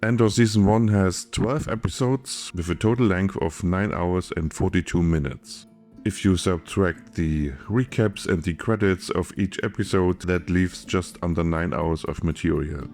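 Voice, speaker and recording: A man with a German accent, a film editor reading a scripted video essay in a careful, measured way. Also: low-pitched, darkish tone, soft background music.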